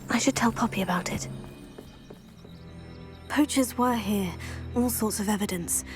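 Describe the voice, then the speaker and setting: A woman playing a resolute, cautious teenage witch in a video game, calm and matter-of-fact. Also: medium pitch, a little slow, over music, natural voice. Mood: watchful. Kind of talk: video game character acting.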